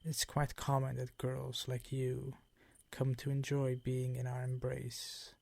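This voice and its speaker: Man playing a slow, gravelly vampire count. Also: medium pitch, monotone, darkish tone. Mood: coaxing, possessive. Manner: slow, ornate, condescending seductive purr. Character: suave, menacing older male vampire count, possessive and hungry. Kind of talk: ASMR roleplay monologue.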